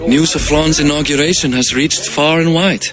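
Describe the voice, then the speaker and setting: An actor playing a worldly, knowledgeable king in a cinematic video game, speaking in a measured, explanatory way. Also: fairly high pitch, fairly even intonation, lightly acted. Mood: measured, knowing. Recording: noisy ambience behind the voice.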